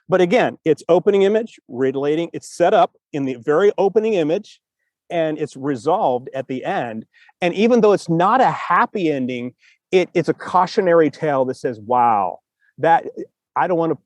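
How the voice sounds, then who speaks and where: A man hosting a casual discussion over a video call, explaining in an animated, rambling way and acting out reactions. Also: on the high side, no echo. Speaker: podcast host.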